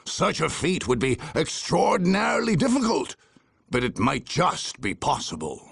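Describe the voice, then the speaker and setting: Gravelly, solemn war chronicler. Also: male voice, a little high, huge pitch swings, moderate pace, exaggerated voice. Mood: solemn, foreboding. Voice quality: gravelly, husky. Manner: solemn, dramatic, ominous. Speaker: narrator. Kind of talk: scripted dramatic narration for a video game. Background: faint music.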